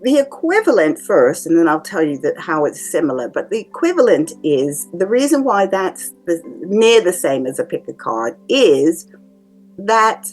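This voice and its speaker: A woman who works as a psychic reader and medium, explaining in a calm, measured way to her viewers. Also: mid-range voice. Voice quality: calming voice. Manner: calm, measured explaining with lively rises and drops. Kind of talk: casual explanatory talk to camera. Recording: faint music behind. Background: faint music.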